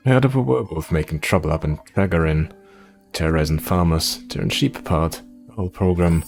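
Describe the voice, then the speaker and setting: A man's voice: deep voice, brisk, vocal fry, darkish tone, soft background music. A man voicing a blunt, joking adventurer in a fantasy game, breezy, chummy and teasing. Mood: matter-of-fact.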